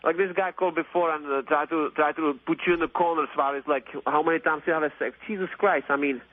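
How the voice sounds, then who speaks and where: Male radio caller, late 30s, slightly high pitch, gushing and repetitive, thin telephone sound. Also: fairly even intonation, fast talker, dry recording. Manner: effusive, rambling, repetitive, mildly profane. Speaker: phone-in caller. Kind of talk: casual, opinionated radio call-in chat. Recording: tinny phone line on radio.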